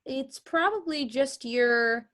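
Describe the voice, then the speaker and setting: A woman's voice: high-pitched, slow pace, fairly bright tone. A woman voice-over artist teaching a class in a conversational online tutorial, chatty and enthusiastic as she explains.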